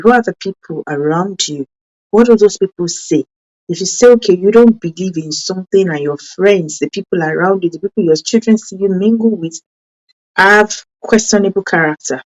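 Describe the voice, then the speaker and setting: A woman's voice: a little mellow, muffled recording. Earnest woman, Yoruba-speaking. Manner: earnest, self-correcting, with sudden rises in pitch for emphasis. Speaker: parenting channel host.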